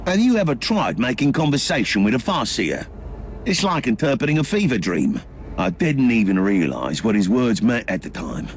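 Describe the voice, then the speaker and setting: Actor as a flamboyant, wry undercover agent, swooping pitch. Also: male voice, sing-song, moderate pace, a little hiss or hum, close to an everyday voice. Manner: wry, flippant, theatrical. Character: flamboyant worldly male secret inquisition agent posing as trader captain. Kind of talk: video game voice acting.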